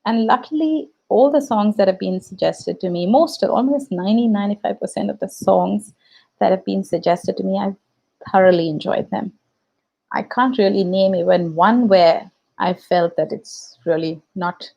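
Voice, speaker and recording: A woman with an Indian English accent, Tamil her first language, talks casually to viewers as a YouTube host. Her mid-pitched voice has a gravelly fry at the ends of phrases. She muses aloud, full of fillers and restarts. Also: moderate pace, a little mellow, rough recording. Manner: reflective, chatty, frequent fillers and restarts. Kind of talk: casual live-stream chat.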